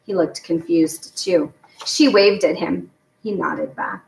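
A middle-aged woman narrates a children's book with plenty of creak in her voice. She is recorded some way from the mic in a roomy, echoing space. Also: lowish voice, slow. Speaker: storyteller reading a children's novel aloud. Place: home garage, indoors. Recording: echoey room, distant mic.

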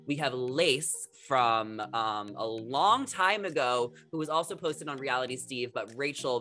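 A man's voice: medium pitch, bright, forceful tone. A young man in his twenties chatting to camera as a gossip vlogger, upbeat and full of "like" and "um", on a desk mic. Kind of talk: casual chat to camera.

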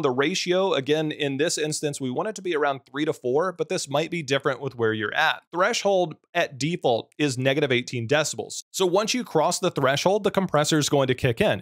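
A man with a deep voice giving a relaxed, practical walkthrough of streaming audio settings. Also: no room sound, clean studio sound. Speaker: streaming tutorial host. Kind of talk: screen-recorded software tutorial.

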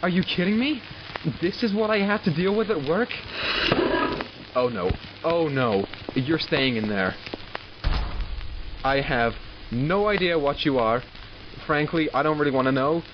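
A man's voice: fairly high pitch, expressive, unhurried, pauses often. A man acting in an audio drama as an arrogant, exasperated doctor who studies supernatural captives, clinical and sardonic in manner. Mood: exasperated, irritated. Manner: clinical, sardonic, self-talking. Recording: muffled, with loud background noise.

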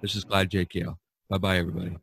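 Male podcast host, rambling and easygoing, low voice, dry close sound. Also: little pitch movement, very fast, creaky, a bit bright, slightly rough, no room sound. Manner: rambling, easygoing, laughs often. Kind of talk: casual chat among artists.

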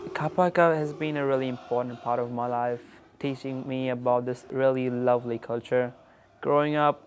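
A man's voice: mid-range voice, smooth. A young man speaking earnestly and personally in an outdoor documentary interview. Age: young adult. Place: outdoors by a field.

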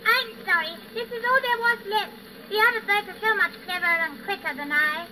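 Tiny squeaky boastful nightingale. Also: brisk, recorded from a distance. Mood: sorry, sulky. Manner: self-important, theatrical. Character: small boastful nightingale, champion singer, male. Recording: noisy old 78rpm gramophone record.

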